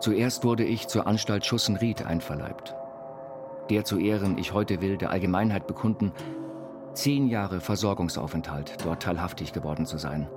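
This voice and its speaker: A man playing an earnest, dreamy inventor confined to an institution, reading his writings in a deliberate, formal way. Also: sits low, natural voice. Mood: solemn recollection. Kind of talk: scripted literary reading over music. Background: music.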